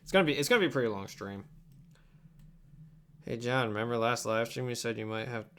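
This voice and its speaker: A man's voice: medium pitch, a little fast, a bit bright, clear, resonant tone. A young man chatting casually on a livestream while he works, mumbling and thinking aloud in a distracted way. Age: young adult. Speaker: livestreamer editing an abridged anime dub. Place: indoor home computer setup. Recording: faint keyboard and room noise.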